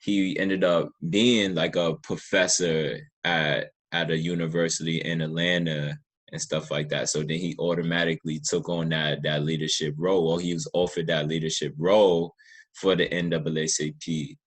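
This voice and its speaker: A man's voice: extremely low pitch, fairly even intonation, moderate pace, slightly rough. A man hosting a casual interview, talking in an earnest, informal way and often repeating himself. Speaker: podcast host interviewing a guest.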